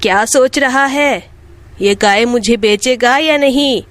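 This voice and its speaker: A woman's voice: on the high side, slow pace, fairly bright tone. A woman voicing a poor, kind-hearted and pious old mother in a children's moral story. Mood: brusque.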